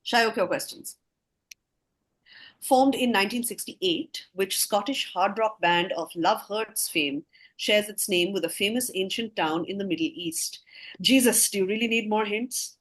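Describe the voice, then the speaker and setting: A woman's voice: medium pitch, measured pace. A woman quizmaster reading scripted questions aloud, with casual asides to the players.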